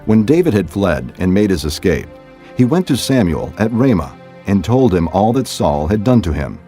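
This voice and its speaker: A man narrates a scripture story in a very low, mellow voice. His delivery is measured and scripted, like audiobook storytelling, with faint music behind him. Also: big pitch swings.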